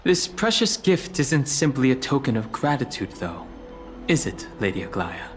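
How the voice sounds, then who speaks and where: A man playing a calm, guarded and courteous young traveler in a video game, speaking politely and with reserve. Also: mid-range voice, moderate pace, faint music behind, natural voice. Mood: wary, polite.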